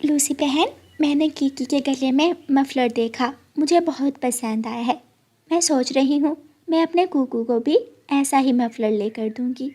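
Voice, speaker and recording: Lady doing a kind mama sparrow, high sweet voice, earnest, for a kids bird cartoon. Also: a little slow, mellow. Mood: affectionate. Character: kindly, sensible mother sparrow. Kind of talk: Hindi children's cartoon story.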